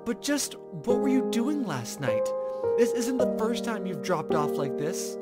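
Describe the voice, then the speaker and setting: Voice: mid-range voice, big pitch swings, unhurried, over loud music, pitched above the performer's own voice. A woman voicing a haughty, dramatic young girl in a visual novel, scheming and bratty, with theatrical, wordy indignation. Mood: accusing, bossy. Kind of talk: visual novel character read aloud.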